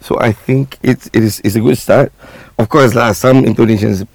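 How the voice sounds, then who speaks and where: A man's voice: mid-range voice, fairly even intonation, normal speed, creaky, darkish tone, no echo. A man with a Malaysian accent, a traffic department head, chatting casually in an interview.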